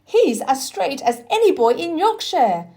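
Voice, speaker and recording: A woman's voice: high-pitched, sing-song, a little slow, mellow, pitched above the performer's own voice, exaggerated voice. A woman reading a children's storybook, voicing a kind, confident country boy who encourages with emphasis. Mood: proud.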